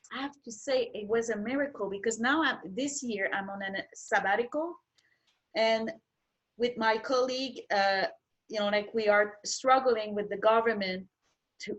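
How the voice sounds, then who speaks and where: A middle-aged woman whose first language is French, chatting as a guest cook, halting and restarting her sentences as she searches for words. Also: fairly high pitch, fairly bright tone, muffled recording. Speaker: schoolteacher. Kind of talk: casual interview chat.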